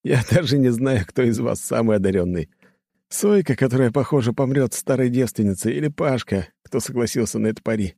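A man's voice: mid-range voice, lively intonation, fairly quick, warm tone, no echo, studio quality, voice pushed up. A man playing a brash, commanding hunter in an audiobook, with a curt, insistent manner. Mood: amused scorn. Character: brash, bossy young male hunter, commanding and exasperated. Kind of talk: audiobook character dialogue.

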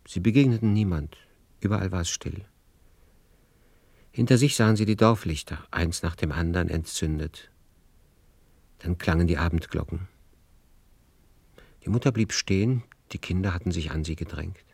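A man narrating a literary radio play as a measured storyteller. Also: sits low, gravelly, a little mellow, no echo.

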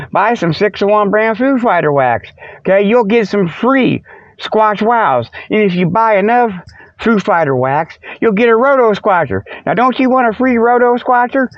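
A man's voice: high voice, animated, unhurried, no echo. An American man hosting a comic show in a rambling casual monologue, folksy and meandering, with joking asides. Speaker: host of a comic Sasquatch show. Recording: dull, lo-fi radio-like sound, close and dry.

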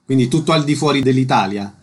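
A man's voice: medium pitch, quick pace, slightly rough. A man hosting a radio show, asking short questions and reading out event details plainly. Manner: brief, plain questions and announcements. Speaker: radio host. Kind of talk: radio interview.

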